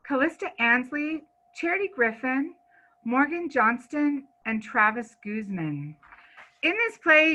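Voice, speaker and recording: A woman's voice: on the high side, bright, forceful tone, rough recording. A woman presenting a stage play, giving a spoken introduction to the audience.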